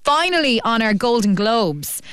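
A woman's voice: a little high, big pitch swings, unhurried, fairly bright tone, smooth, dry recording. A woman hosting a radio show, chatting casually with plenty of fillers in a studio. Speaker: radio host.

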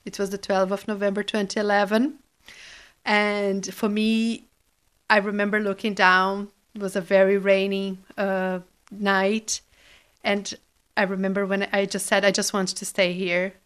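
A woman from Brazil speaks heartfelt and grateful. Her voice is smooth and resonant, though fairly flat in pitch. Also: a little slow. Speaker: interviewee. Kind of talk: personal account.

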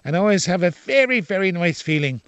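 A man's voice: sits high, animated, fairly quick, fairly bright tone, clear, resonant tone, no room sound, highs cut off. Older male actor, Dublin accent, frank talker, bone-dry studio sound. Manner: expansive, frank, opinionated. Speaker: actor and playwright. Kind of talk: interview.

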